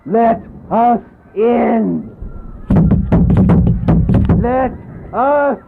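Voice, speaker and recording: Casting: man as defiant, desperate male vampire, gravelly and slow, theatrical horror radio, thin phone-like sound. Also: very high-pitched, animated, loud music behind the voice, full character voice. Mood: accusing, demanding. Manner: slow, declamatory, accusing. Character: desperate, defiant vampire begging and accusing his maker. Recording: muffled phone-like radio play, background noise.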